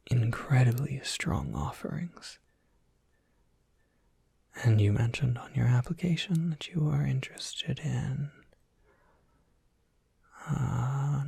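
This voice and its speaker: An ASMR performer playing a courteous, soft-spoken university professor, whispering in formal, measured phrases with a breathy, husky voice. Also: medium pitch, dry recording, heavily acted. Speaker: professor overseeing a college major.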